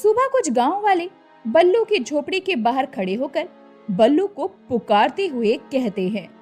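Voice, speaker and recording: Casting: woman storybook narrator, high and very lively, expressive. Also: a little slow, soft background music.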